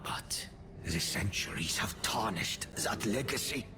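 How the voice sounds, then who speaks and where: A man voicing the solemn, weakened spirit of an ancient conqueror king in a fantasy game, breathy, grave and stately. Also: fairly low pitch, animated, over music. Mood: solemn pride. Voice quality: breathy, whispery. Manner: grave, dramatic, slow and stately.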